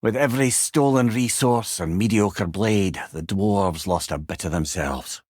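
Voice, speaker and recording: A man's voice: on the low side, measured pace, darkish tone, dry recording, clean studio sound. A man voicing a wise, witty old Scottish advisor in a video game, with a wry, eloquent and rueful manner. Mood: grave, rueful. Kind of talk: video game character dialogue.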